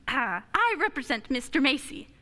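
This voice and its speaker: A woman plays a pompous, cowardly male psychologist in a radio play, blustering and stammering. The voice is high and sharp, swinging widely in pitch. It rings in an echoey hall. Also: very animated, measured pace, heavily acted. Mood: flustered, eager. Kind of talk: stagey radio play acting.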